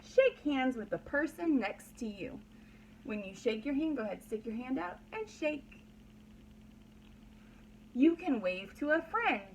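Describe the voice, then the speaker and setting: Slow-talking woman teacher reading to little kids. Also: high-pitched, sing-song, slight background noise, rough recording. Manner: instructional, simple phrases with repetition and prompting questions. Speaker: pre-kindergarten teacher. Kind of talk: read-aloud lesson for young children.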